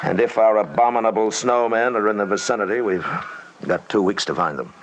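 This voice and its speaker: An actor playing a calm, commanding expedition leader who narrates and acts in an old-time radio drama, measured and matter-of-fact. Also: male voice, lowish voice, lightly acted. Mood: decisive. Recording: very noisy old radio recording.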